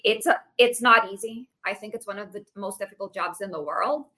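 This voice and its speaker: A woman in her late thirties, a sales manager talking casually as a podcast guest, animated and full of "like". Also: medium pitch, a little fast. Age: 30s. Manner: animated, uses "like" often. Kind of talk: casual interview chat.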